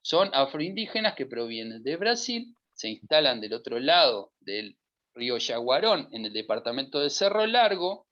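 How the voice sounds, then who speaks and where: A man's voice: mid-range voice, sing-song, normal speed, a bit bright. A middle-aged man from Uruguay with a Uruguayan Spanish accent, speaking emphatically on a discussion panel and piling up lists of verbs. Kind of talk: discussion talk. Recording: dull lo-fi audio.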